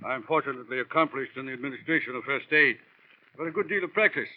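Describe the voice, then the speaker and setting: A man's voice: mid-range voice, rapid-fire, close to an everyday voice. A man playing an urbane, very fat, long-winded villain in florid, elaborately polite 1940s radio drama style. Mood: smooth menace. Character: urbane, pompous, very fat male villain, verbose and menacing. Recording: tinny, narrow-band old radio broadcast.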